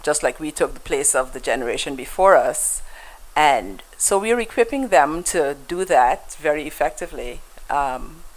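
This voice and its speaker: A woman's voice: low-pitched, rough recording. A woman with a Guyanese accent, a guest in a conversational interview, telling stories in a reflective way with frequent fillers and restarts.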